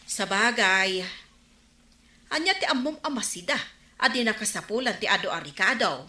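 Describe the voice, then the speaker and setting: A woman's voice: mid-range voice, expressive, moderate pace, pushed and bright, slight background noise. A woman playing a village councillor who minds a store, in an Ilocano radio comedy drama. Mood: curious. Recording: roomy, echoing radio drama recording.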